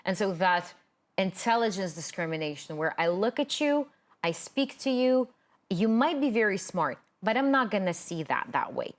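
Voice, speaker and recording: A woman's voice: medium pitch, big pitch swings, normal speed. Earnest Ukrainian-born woman accent coach. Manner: earnest, talkative, many "like" fillers. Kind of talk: casual video interview.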